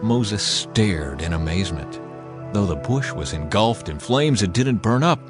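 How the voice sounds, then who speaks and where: A man giving a measured, solemn, scripted dramatic narration as an audio Bible reader. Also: on the low side, lively intonation. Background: faint music.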